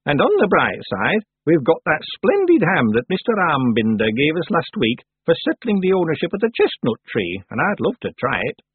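Storybook old witch done by a male reader: stern, dry, lively swooping delivery, thin phone-like sound. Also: on the high side, slightly rough, no room sound, voice pushed up, exaggerated voice. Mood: brisk, matter-of-fact. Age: over 100. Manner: brisk, stern, calm. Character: ancient imperious witch, woman, drily matter-of-fact.

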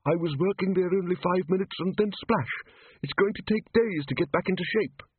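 Man putting on a shrill, indignant little dust creature. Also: brisk, slightly rough, no room sound. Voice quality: shrill, small. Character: tiny indignant complaining heap of talking dust. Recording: tinny, phone-like band-limited audio.

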